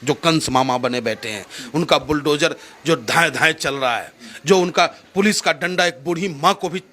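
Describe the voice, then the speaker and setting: A man's voice: fairly high pitch, normal speed, sharp tone. A man giving impassioned political answers in an interview, oratorical and rhetorical, repeating phrases for emphasis.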